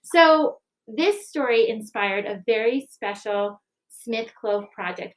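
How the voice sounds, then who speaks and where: A woman in an expressive, deliberate read-aloud style, like school staff sharing a picture book and news with families. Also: fairly high pitch, rough recording. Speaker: school staff member reading aloud and presenting a recipe book project. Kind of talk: children's storybook read-aloud and school announcement.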